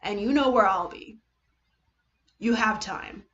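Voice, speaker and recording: A woman voicing a brave, weakened teenage boy hero with earnest, halting bravado in a dramatic story reading. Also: medium pitch, a bit bright, highs cut off. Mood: earnest reassurance.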